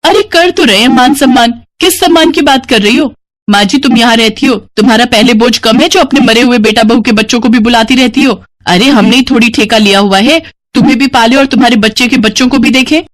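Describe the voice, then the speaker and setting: A woman voicing a resentful, sharp-tongued middle-aged aunt in a moral story, sarcastic and scolding. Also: on the high side, brisk, pitched above the performer's own voice, close to an everyday voice. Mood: scornful.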